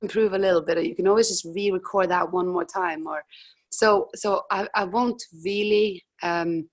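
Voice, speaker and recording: A young woman with a husky voice, a musician guest chatting casually in an interview, hesitant with frequent restarts. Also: fairly low pitch, steady tone, moderate pace.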